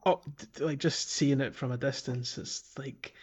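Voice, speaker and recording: A man co-hosting a podcast in casual conversation about video games, halting as he thinks aloud. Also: mid-range voice, breathy edge. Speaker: podcast host. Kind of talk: casual video game discussion.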